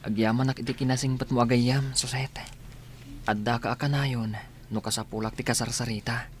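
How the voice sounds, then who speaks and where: A performer plays a young male student in a radio drama. He sounds polite, earnest and soft-hearted, with faint noise behind him. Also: medium pitch, fairly even intonation, a little fast, close to an everyday voice. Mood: earnest, grateful. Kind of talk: Filipino radio drama acting.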